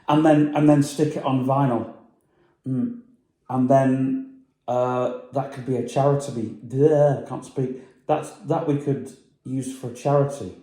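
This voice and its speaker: A man talks loosely through his vlog, trailing off and filling gaps with hums and ums. His voice sits at a middle pitch with a soft, mellow tone. The room around him rings with echo. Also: steady tone, slow. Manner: rambling, hesitant, many fillers. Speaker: vlogger. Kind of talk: casual chat.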